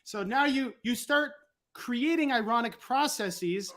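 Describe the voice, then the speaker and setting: A man's voice: extremely high pitch, lively intonation, a little slow, fairly bright tone. A man explaining ideas conversationally as a podcast guest, analytical and precise in wording, pressing points with emphatic rhetorical questions.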